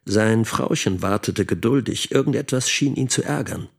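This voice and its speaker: An actor playing a brooding middle-aged husband in an intimate radio drama, speaking in terse, reflective fragments with a husky voice. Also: male voice, on the low side, moderate pace. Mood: brooding, subdued.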